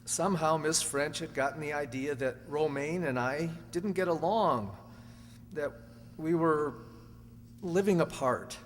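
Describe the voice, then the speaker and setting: Man acting an earnest, higher-voiced young husband. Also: natural voice. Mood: explaining. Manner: halting, pleading, emphatic. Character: earnest, anxious young husband protesting his innocence. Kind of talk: amateur radio drama acting. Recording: echoey hall, faint hum.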